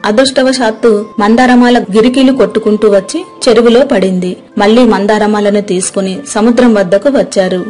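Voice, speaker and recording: A woman's voice: mid-range voice, steady tone, fairly quick, hardly any pauses, a little mellow, soft background music. A woman narrating a children's story in a scripted storybook style.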